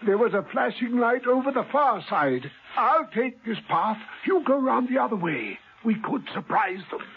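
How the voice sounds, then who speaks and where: A high, jittery old scientist. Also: male voice, animated, moderate pace, a little hiss or hum. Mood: cautious. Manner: urgent, breathless alarm. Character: excitable older male scientist, doctor. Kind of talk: 1970s radio drama acting. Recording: tinny old radio broadcast.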